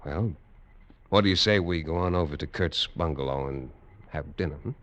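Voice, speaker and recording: An actor playing a tough, wry American lawman in a 1940s radio drama, narrating in terse, measured hardboiled style. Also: extremely low pitch, fairly bright tone. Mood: stern, determined. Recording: dull, noisy vintage radio recording.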